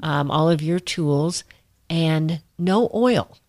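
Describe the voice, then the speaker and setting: Low-voiced woman podcast host. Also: slow, no room sound, studio quality. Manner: warm affirming, frequent mm-hmms. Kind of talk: interview podcast.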